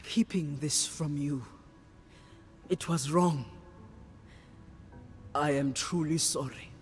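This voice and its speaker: An actress playing a solemn, devout serpent priestess in a game cutscene, speaking in a measured, ceremonial manner. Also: female voice, low-pitched. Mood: remorseful, grave. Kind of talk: dramatic game cutscene acting. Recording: reverberant hall with faint music behind.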